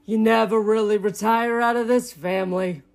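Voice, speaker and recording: A woman's voice: on the high side, fairly even intonation, moderate pace, gravelly. A woman voicing a retired old raccoon father in a story, giving easygoing, folksy advice with wry fondness. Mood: wry, teasing. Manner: easygoing, advising, colloquial.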